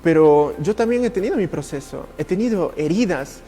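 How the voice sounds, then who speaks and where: A man from Italy speaking as a ministry coach in a podcast interview, earnest and passionate, with halting, repetitive phrasing. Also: a little high, lively intonation, mellow.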